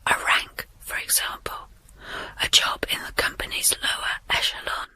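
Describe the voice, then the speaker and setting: An actress playing an eerie female voice in a radio ghost story, reciting facts in a breathy, hushed whisper. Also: moderate pace, no room sound, poor audio. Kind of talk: radio horror drama.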